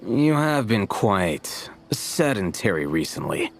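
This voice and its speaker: An actor playing a terse, deadpan young man scholar with dry, aloof replies, in a game cutscene. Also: lowish voice, slow, vocal fry, music in the background, full character voice. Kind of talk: video game cutscene voice acting.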